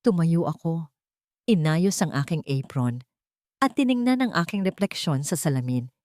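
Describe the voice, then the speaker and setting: A low-voiced woman delivers scripted dramatic narration in an earnest, sentimental way. Her phrasing is formal, like a storyteller addressing devoted listeners. The sound is close and dry. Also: animated, normal speed, darkish tone, no echo. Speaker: storyteller and channel host.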